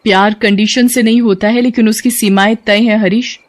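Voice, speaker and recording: A woman's voice: a little high, steady tone, normal speed, natural voice. A woman voicing a strict female professor who lectures and scolds firmly. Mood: lecturing. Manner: stern, lecturing, sharp warnings.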